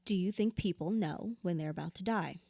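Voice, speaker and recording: A woman's voice: fairly low pitch, brisk, creaky, warm tone, no echo. A woman acting in an audio drama as a grieving widow in therapy, wounded and candid, reflective with a wry edge. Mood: insistent.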